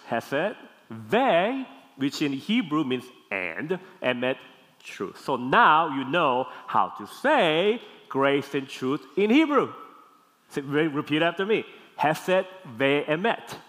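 A man's voice: a little high, fairly bright tone. Korean-accented man preaching in a reverberant hall. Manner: didactic, deliberate phrasing with emphatic repeats and check-in questions. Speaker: pastor. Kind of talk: teaching sermon. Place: large hall, speaking to a congregation.

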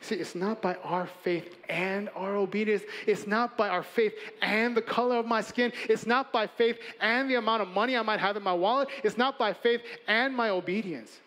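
Guy preaching, earnest, stacks up lists then goes quiet, high voice. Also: normal speed, few pauses, in a large hall. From Chicago, USA. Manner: earnest, emphatic preaching with building lists and hushed endings. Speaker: pastor. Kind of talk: sermon.

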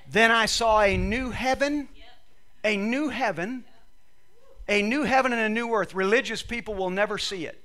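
A man's voice: sits high, bright, forceful tone. A man preaching a sermon to a congregation, reading scripture with emphatic, repetitive phrasing while losing his voice. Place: church service with a congregation.

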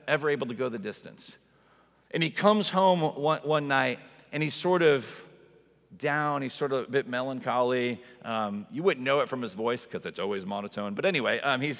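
A man's voice: a little high, fairly bright tone. A man in his forties preaching a conversational sermon as a pastor, with an informal, emphatic manner and frequent rhetorical asides. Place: large indoor church space with long reverb. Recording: reverberant hall sound.

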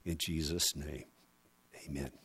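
A man preaching a sermon in an emphatic, dramatic and deliberate way, building through repeated phrases. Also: rumbling low, steady tone, a bit bright, breathy edge.

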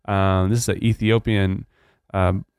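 A man's voice: lowish voice, little pitch movement, measured pace, a little mellow, clean tone, no room sound, clean studio sound. A man with a Texan drawl, hosting a church podcast in casual, earnest chat with many fillers.

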